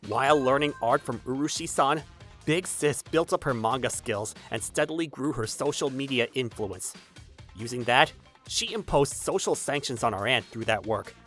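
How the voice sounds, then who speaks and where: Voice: medium pitch, lively intonation, normal speed, a bit bright, faint music behind, natural voice. An actor playing an earnest, forgiving teenage younger brother who also narrates in a manga dub. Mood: narrating. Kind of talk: anime-style manga dub acting and narration.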